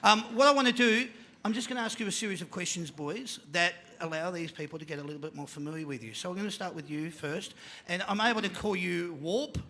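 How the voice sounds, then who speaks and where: Rowdy high-voiced Australian male host. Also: fast talker. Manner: energetic, jokey, shouts to the crowd. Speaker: panel show host. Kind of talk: live panel show hosting. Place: live venue with an audience.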